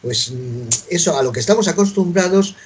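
A man's voice: a little high, lively intonation, fairly quick, a little hiss or hum. A man who heads an artists' association, speaking earnestly in a panel discussion with frequent hesitations and fillers.

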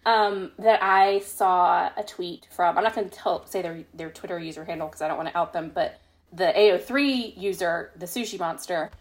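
A woman's voice: fairly low pitch, normal speed. A woman being interviewed as an author in a casual podcast chat, talking with gushing, emphatic enthusiasm. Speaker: interviewed fan fiction author.

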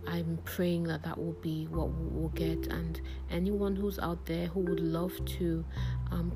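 A woman hosting a show, talking in a soft, soothing, half-whispered way. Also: deep voice. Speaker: host. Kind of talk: reflective monologue. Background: loud music.

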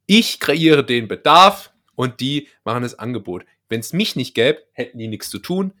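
Young male co-host, Palatinate accent, loose joking delivery, mid-pitched. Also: sing-song, moderate pace, sharp tone. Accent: Palatinate German. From Palatinate, Germany. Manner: loose, joking, trails off and restarts. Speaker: podcast co-host. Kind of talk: casual banter. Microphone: laptop setup.

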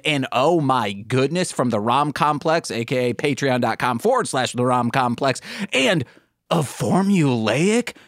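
A man with a fairly high voice and very animated intonation hosts a casual podcast. He rambles jokily through banter and plugs with playful exclamations. The sound is dry and close. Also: no room sound. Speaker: podcast host.

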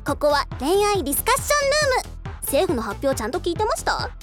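Woman as officious moderator, very high voice, swooping intonation, polite, theatrical, radio drama. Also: huge pitch swings, fast talker, music in the background, full character voice. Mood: cheerfully officious. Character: breezy, officious government discussion-room moderator woman. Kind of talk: radio drama narration and acting.

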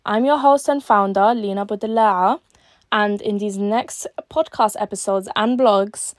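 A woman, hosting her own podcast and reading an earnest, measured script that trails off quietly at the ends of lines. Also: mid-range voice, no echo, clean studio sound. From born in Algeria, raised in East London. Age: late teens. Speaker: podcast host. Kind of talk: scripted solo podcast monologue.

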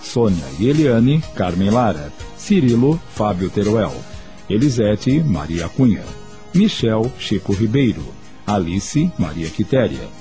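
A man's voice: lowish voice, big pitch swings, measured pace, warm tone, soft background music. A Brazilian man announcing scripted radio credits in a formal, carefully enunciated manner. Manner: formal, enunciated list reading.

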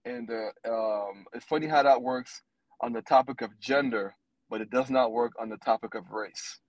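A man in his thirties co-hosting a podcast, talking in a blunt, animated and profane way. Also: mid-range voice, moderate pace, dry recording, poor audio. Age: 30s. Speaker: podcast co-host. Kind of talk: casual podcast chat.

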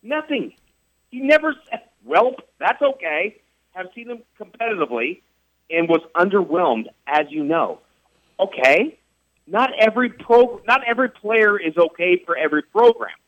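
A man's voice: high voice, expressive, normal speed, a bit bright, no echo. A man hosting sports radio talk, emphatic and repetitive, firing off rhetorical questions. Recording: dull radio studio sound, dry.

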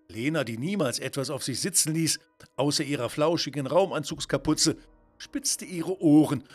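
Animated male children's story narrator. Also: fairly high pitch. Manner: expressive, theatrical.

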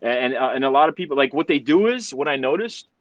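A man's voice: medium pitch, expressive, fast, no echo, highs cut off. A young man hosting a casual podcast chat, rambling and swearing, often stumbling and repeating words. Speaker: podcast host. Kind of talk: casual group chat on a video call.

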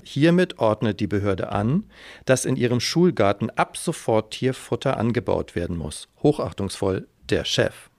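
A man playing an earnest, puzzled school principal in an amateur radio play, with deliberate, formal phrasing. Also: on the low side, animated, a little mellow. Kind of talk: amateur radio drama acting.

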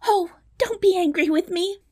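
A woman's voice: sits high, a little slow, no echo, clean studio sound, pitched above the performer's own voice. A woman voicing a proud young princess in a fairy tale, plaintive and exclamatory in her delivery. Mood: terrified, pleading. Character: young proud princess, anxious, emotional, indignant. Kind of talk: fairy tale storybook reading.

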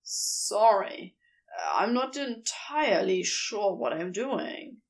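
A woman reading a storybook voicing a wary, flustered injured man with a lower, grumbling delivery. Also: animated, slow. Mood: sheepish.

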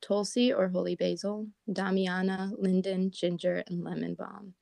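A woman with a raspy morning voice chatting casually as a podcast co-host, talking with lots of "like" and "um". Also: medium pitch, little pitch movement, measured pace, warm tone, no echo, clean studio sound. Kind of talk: casual chat. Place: at home in Portland.

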